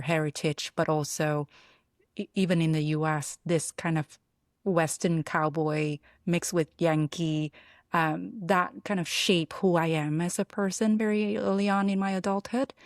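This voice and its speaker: A woman from Vietnam, answering interview questions in a reflective, halting, conversational way. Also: low voice, no echo, studio quality. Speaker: interview guest, product builder and designer.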